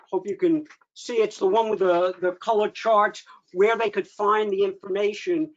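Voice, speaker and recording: High-pitched man on a dull call. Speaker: meeting host. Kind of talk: informal meeting update. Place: online video meeting. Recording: dull lo-fi video call audio.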